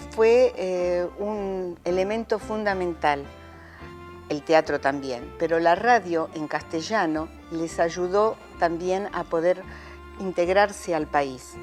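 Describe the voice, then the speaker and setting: A middle-aged woman talks reflectively in an interview, pausing often to search for her words. Her voice sits somewhat low. Faint music plays underneath. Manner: thoughtful, searching, many pauses and fillers. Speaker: theatre director and adapter.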